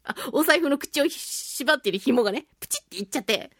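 A woman's voice: sits high, a little fast, dry recording, clean studio sound. A woman hosting a talk show, telling a personal anecdote in a casual, playful and chatty way. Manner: animated, chatty storyteller with playful self-mockery. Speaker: host of a talk radio show, voice actress.

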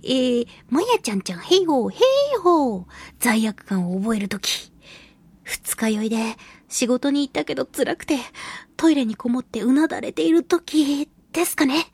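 A woman hosting a casual solo radio talk show, reading listener mail in a playful, giggly, cutesy way with a husky, breathy voice. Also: sits high, expressive, moderate pace, darkish tone, dry recording. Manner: playful, giggly, slips into cutesy exclamations and quirky old-man phrasing. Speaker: radio show host, voice actress.

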